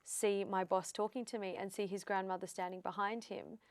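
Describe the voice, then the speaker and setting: A woman's voice: mid-range voice, flat delivery, normal speed, dry recording. A woman in her thirties from Australia with an Australian accent, a psychic medium telling her story in a casual chat and acting out the lines she quotes. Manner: chatty storyteller, acts out quotes.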